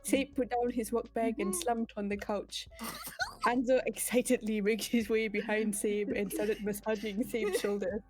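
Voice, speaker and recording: A woman's voice: on the high side, little pitch movement, moderate pace, darkish tone, soft background music. A giggly woman reading a story comically on a stream, breaking off to make asides. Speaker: streamer reading fan fiction aloud.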